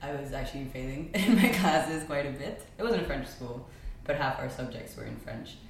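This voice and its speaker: Low-voiced young woman in an echoey room. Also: expressive, moderate pace, creaky. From Spain. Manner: chatty, frequent ums, laughs often. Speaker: podcast guest. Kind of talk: casual conversational chat. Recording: very echoey room with faint noise.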